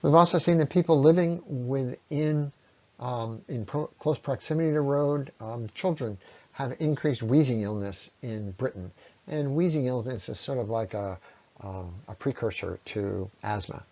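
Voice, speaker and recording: A man's voice: mid-range voice, normal speed, a little mellow. Man lecturing on a dull, lo-fi recording. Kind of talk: explanatory slide presentation.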